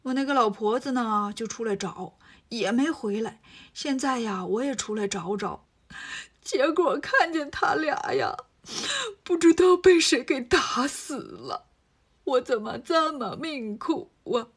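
A woman's voice: a little high, fairly bright tone, slightly rough. A woman voicing a cunning shape-shifting demon disguised as an old man, sly and whining. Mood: mournful. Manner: sly, whining, slow mournful pleading.

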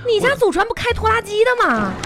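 A woman's voice: very high voice, sing-song, fast. A woman acting a coquettish, indignant middle-aged wife in a comedic radio drama, chatty and exclamatory. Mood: excited. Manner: chatty, exclamatory, playfully scolding. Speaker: radio comedy actor and host. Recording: studio broadcast with music bed. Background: music.

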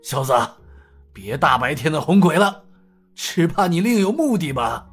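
A man doing a brash bandit from a martial-arts audio story, pitched up somewhat with a husky edge and lively swings. He sneers his lines bluntly over faint music. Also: normal speed, exaggerated voice. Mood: sneering. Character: brash male bandit brother, quick-tempered.

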